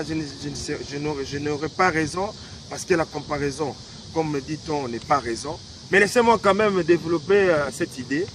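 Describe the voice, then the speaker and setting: Congolese man, high voice, earnest and halting, filmed outside with loud traffic behind. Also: poor audio. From Democratic Republic of the Congo. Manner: earnest, hesitant, many fillers and restarts. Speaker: vlogger giving political commentary. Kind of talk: casual outdoor talk to camera. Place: outdoors by a small lake in a city. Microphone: camera held by a cameraman. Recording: outdoor video with loud traffic noise.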